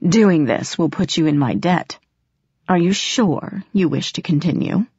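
A woman's voice: fairly low pitch, expressive, unhurried, darkish tone, slightly rough. A haughty male faery cat, as voiced by a woman narrator in a storybook reading. He talks down to his listener in formal, disdainful phrases. The sound is a little dull and roomy. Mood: cool, measured warning.

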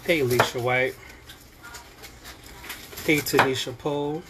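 A man's voice: medium pitch, steady tone, takes it slowly. Man reading viewer comments over kitchen noise. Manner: friendly greetings, conversational. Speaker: comment reader on a livestream. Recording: frying and sizzling noise behind.

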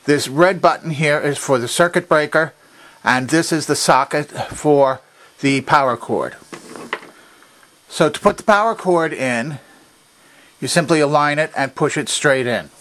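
A man's voice: a little high, measured pace, fairly bright tone, a little hiss or hum. Methodical man giving a how-to demonstration. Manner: methodical, precise explaining. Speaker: demonstrator of a vintage machine. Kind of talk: instructional demonstration, explanatory. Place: indoor workshop at a workbench.

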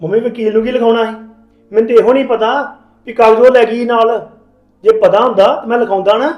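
Man playing a harried brother, high voice, quick, brusque, echoey room. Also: fairly even intonation, darkish tone, muffled recording. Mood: complaining. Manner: brusque, scolding. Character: harried older brother caught in family strife.

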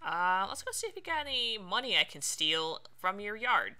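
A woman's voice: medium pitch, sing-song, normal speed, pushed and bright. A woman doing casual Let's Play commentary, chatting and thinking aloud as she plays. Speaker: streamer playing a video game.

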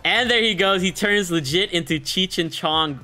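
A man's voice: high-pitched, normal speed, sharp tone, a little hiss or hum. A man in his late twenties giving hyped, slangy, casual gameplay commentary as a YouTuber, close on the mic in a small room. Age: late 20s. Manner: hyped, slangy, chuckling streamer talk. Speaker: gaming YouTuber reviewing a bundle. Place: small indoor gaming room. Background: faint music.

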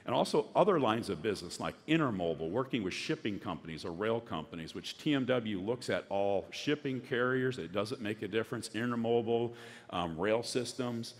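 A man's voice: on the low side, animated, moderate pace. A middle-aged man giving a conference keynote on a large stage, earnest and full of restarts. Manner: earnest, repeats words, many restarts. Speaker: conference speaker. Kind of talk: keynote presentation.

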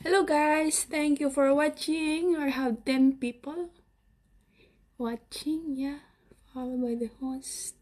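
A young woman with a Filipino accent hosts a casual livestream from her phone in a room at home. Her voice is high and she talks slowly, in hesitant, halting English. She comes across as shy and giggly. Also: fairly even intonation, darkish tone. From Philippines. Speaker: livestream host. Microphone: phone at arm's length.

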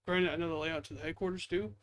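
A man's voice: on the high side, steady tone, fast talker, a bit bright. A man giving casual, rambling, self-deprecating gameplay commentary as the host, muttering into a headset mic at home. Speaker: gaming video host.

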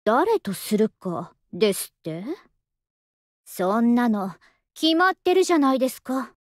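A young anime game soldier woman with a high voice. She is languid and polite, with an understated affection, and her pitch swings widely. The sound is dry and close. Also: big pitch swings, a little slow, dry recording. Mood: soft, affectionate. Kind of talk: Japanese anime game voice acting.

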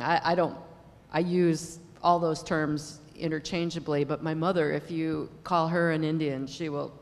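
Middle-aged woman panelist, measured, explanatory, table mic, long hall reverb. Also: low voice. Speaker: panelist professor. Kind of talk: panel discussion answer. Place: large conference hall. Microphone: table mic on stand.

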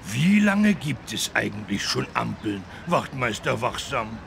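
Cartoon game role: friendly big elephant, very creaky, forceful bright tone, unhurried. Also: male voice, medium pitch, very animated, slight background noise, exaggerated voice. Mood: curious, polite. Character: good-natured big talking elephant, friendly and curious. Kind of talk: German children's cartoon game acting.

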